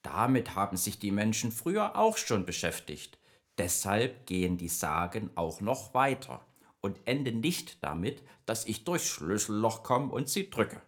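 Man voicing a nightmare spirit, friendly, chatty know-it-all, husky, lively intonation, children's radio play. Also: lowish voice, measured pace, exaggerated voice. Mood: explaining. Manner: explains patiently, evasive then informative.

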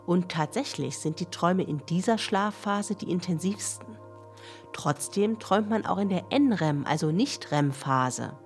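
A woman's voice: on the low side, animated, measured pace, soft background music. A woman playing a matter-of-fact science explainer in a children's radio play, talking to listeners in a didactic way. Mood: instructive. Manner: clear, didactic, addressing listeners directly.

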